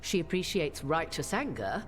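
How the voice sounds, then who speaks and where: A woman voicing a proud, cryptic witch in a video game, with arch, formal, measured phrasing. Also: low voice, very animated, over music. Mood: arch, cautioning. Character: worldly, composed witch advising a hero, proud and cryptic. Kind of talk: dramatic video game acting.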